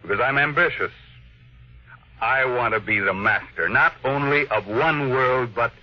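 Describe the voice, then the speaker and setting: A man's voice: medium pitch, unhurried, natural voice. A ruthless male tycoon, played by a radio actor, curt and imperious in a mid-range voice. He gives orders brusquely and brushes people aside. The sound is a dull, old radio recording. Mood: proud, assured. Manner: brusque, commanding, dismissive. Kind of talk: 1950s radio drama acting.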